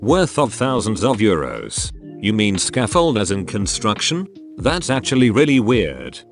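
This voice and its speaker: A man narrating forum posts aloud as a scripted read-through, with a steady, even pace. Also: lowish voice, a little slow. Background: faint background music.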